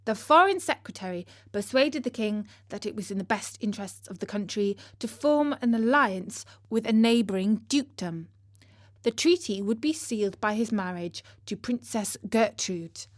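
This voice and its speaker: A woman reading a scripted story as narrator, in a measured and expressive manner. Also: medium pitch, dry recording, clean studio sound.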